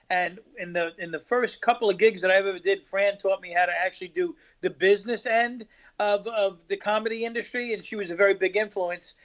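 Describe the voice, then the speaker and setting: A man's voice: sits high, fairly bright tone. A man, a stand-up comedian, chatting in a rambling way over a video call. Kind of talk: casual chat. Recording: dull lo-fi call audio.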